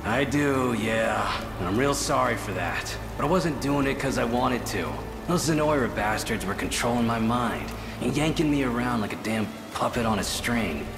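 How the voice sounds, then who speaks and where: An actor playing a proud, gruff but big-hearted bandit boss in a video game, brash, slangy and familiar. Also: male voice, mid-range voice, animated, normal speed, close to an everyday voice. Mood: earnest. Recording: roomy echo, music behind.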